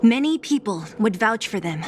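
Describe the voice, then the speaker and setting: A woman's voice: medium pitch, expressive, normal speed, slight background noise, close to an everyday voice. An actress playing a tough, blunt young female fighter in a video game, speaking directly. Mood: earnest, firm.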